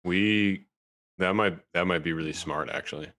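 A man in his late twenties with a low voice, easygoing and quick to laugh. He hesitates and restarts as he chats with his group. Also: pushed and bright. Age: 20s. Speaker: group member leading a Q&A. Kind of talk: casual group chat.

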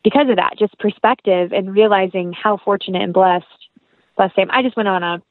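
A woman's voice: mid-range voice, a little fast, no room sound, phone-quality sound. Earnest young American woman in close, dry sound. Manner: earnest, effusive, rambling. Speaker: actress, interview guest. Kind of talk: casual interview chat, anecdotal.

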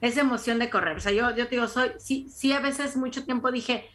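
A Mexican woman co-hosting a podcast, telling lively anecdotes and laughing in casual chat. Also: fairly high pitch, fairly quick. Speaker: podcast co-host.

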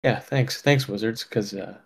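A man co-hosting a podcast, talking casually with many fillers, often dropping quieter at the ends of his thoughts. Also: fairly low pitch, a little slow, vocal fry, slightly rough. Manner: relaxed, lots of fillers, trails off quietly. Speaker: podcast co-host.

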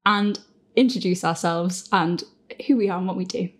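A woman's voice: lowish voice, moderate pace. A woman hosting a casual group Q&A in a living room, with a giggly, informal manner. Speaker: host and show creator.

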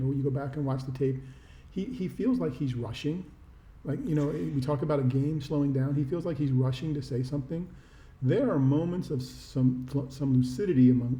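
A man co-hosting a sports podcast, giving reflective, measured opinions in casual talk with stammered restarts, recorded in an echoey room. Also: a little high, mellow. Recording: muffled, echoey room sound.

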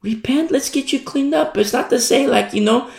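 A man preaching a sermon in an animated, repetitive style, acting out the people he criticizes. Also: very high voice, moderate pace, gravelly. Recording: echoey room sound.

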